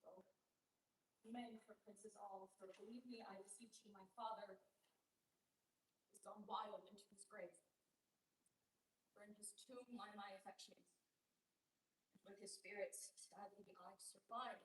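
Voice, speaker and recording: A woman playing a stern, proud young king in a staged Shakespeare reading, with formal, measured declamation. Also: medium pitch, steady tone, a bit bright, natural voice. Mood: solemn. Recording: echoey room, distant mic, faint hiss.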